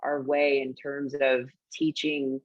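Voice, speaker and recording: A woman's voice: a bass voice, takes it slowly, no room sound, highs cut off. An Australian woman in her late thirties, a podcast guest talking casually and bluntly, swearing freely as she tells stories. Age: late 30s. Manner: blunt, profane, animated storytelling.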